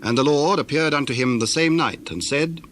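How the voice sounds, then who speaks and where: A man narrating scripture from a script in a measured, formal way. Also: on the high side, a bit bright. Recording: faintly noisy old recording.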